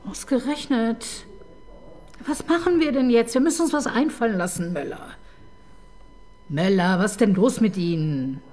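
An actress playing a resolute female police inspector in a radio crime drama, giving commands briskly and often whispering. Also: fairly high pitch, a little mellow, lightly acted. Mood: urgent. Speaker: police detective.

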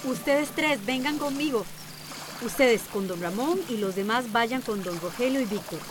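An actress playing a pragmatic, skeptical local official, blunt and argumentative, in a radio drama. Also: female voice, a little high, expressive, normal speed, background noise, close to an everyday voice. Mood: resigned, brisk.

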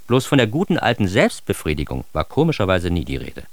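A man's voice: low voice, huge pitch swings, a little fast, dry recording, full character voice. An actor playing a grown son narrating his embarrassed adolescence in a radio drama, with an ironic, mock-formal, theatrically indignant delivery. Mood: wry, confessional.

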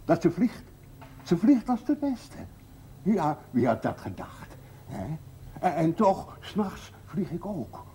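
An actor playing a gentle, childlike, simple man fond of birds, stammering and repeating words in a television drama. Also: high voice, big pitch swings, takes it slowly, heavily acted. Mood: dreamy, boastful. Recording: noisy old television soundtrack.

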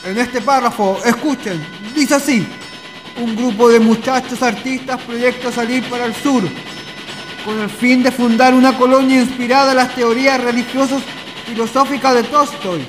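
A man's voice: very high voice, steady tone, normal speed, music in the background. A man playing an eager young writer in a radio drama, declaiming. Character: eager young male writer reading news to friends. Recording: long reverb, faint noise.

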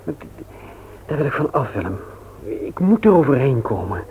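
An actor in a radio play, playing a grieving, weary widower with a halting, heavy-hearted delivery. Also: male voice, mid-range voice, very animated. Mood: anguished, resolute. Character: Gerrit, grieving widowed father, subdued and weary. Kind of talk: Dutch radio play acting. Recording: tinny, noisy old radio play recording.

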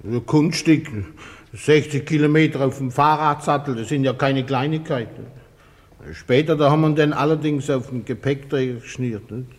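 A man's voice: medium pitch, steady tone, moderate pace, lightly acted. An actor playing a flustered, servile gang henchman in a radio comedy, stammering and rambling through excuses. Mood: apologetic. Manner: stammering, rambling, repeats himself. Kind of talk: 1950s German radio comedy acting. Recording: reverberant old radio drama recording.

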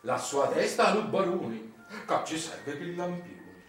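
A man's voice: high voice, expressive, unhurried. An actor playing an anguished, bitter Sicilian father from the countryside in an emphatic, incantatory theatrical monologue with a Sicilian accent. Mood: mocking chant. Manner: incantatory, emphatic, repetitive phrasing. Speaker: stage actor performing a monologue. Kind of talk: theatrical monologue, chanted and declaimed. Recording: roomy distant stage recording with music.

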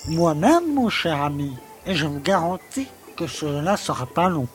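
A man as a high-voiced, pompous village mayor. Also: very animated, measured pace. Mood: stern, scornful. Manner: pompous, threatening, gloomy. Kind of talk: audio drama acting.

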